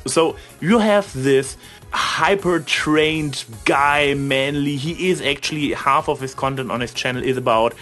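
A man rambling casually as a vlogger, telling things in an animated, emphatic way and stretching out some words. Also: mid-range voice, unhurried, faint music behind.

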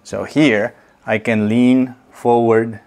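A middle-aged man, teaching slowly and deliberately while he demonstrates in a home kitchen. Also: mid-range voice, level tone, darkish tone. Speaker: movement teacher. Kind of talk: instructional demonstration.

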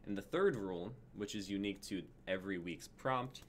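A man's voice: lowish voice, brisk, slight background noise. A man in his twenties casually hosting a live stream on a group video call. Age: 20s. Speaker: stream host.

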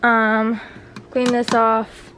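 A young woman vlogging to camera in her small apartment, chatting in a relaxed way with lots of fillers. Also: fairly high pitch, extremely slow, a little hiss or hum. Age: young adult. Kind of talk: casual vlog chat to camera.

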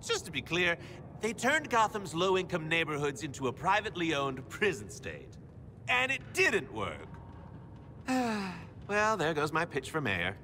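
Game villain role: mocking young psychotic clown, high sharp voice that swings wildly. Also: male voice, huge pitch swings, measured pace, a little hiss or hum, exaggerated voice. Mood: wry mockery. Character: flamboyant, gleefully sinister younger male clown villain.

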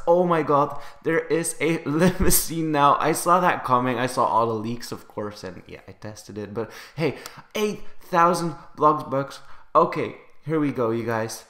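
A man casually commenting on a building game update, chatty and excitable, his voice slightly raspy from the early hour. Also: a little high, measured pace, echoey room. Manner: excitable, chatty, laughs often. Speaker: gaming YouTuber.

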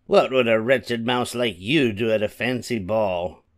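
A man's voice: mid-range voice, unhurried, no echo, clean studio sound, close to an everyday voice. A man voicing vain, horrid young stepsisters in a storybook, taunting and haughty. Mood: cruel teasing. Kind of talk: storybook character voice.

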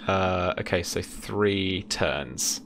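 A man's voice: low-pitched, very slow, noisy background. A young man running a tabletop game as game master, narrating and talking rules in a casual, hesitant way. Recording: music behind the voice.

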